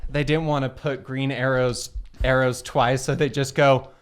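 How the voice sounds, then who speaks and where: A man's voice: mid-range voice, little pitch movement, unhurried, smooth. A man chatting casually as a player in a tabletop game, joking and poking fun at himself. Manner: joking, self-mocking.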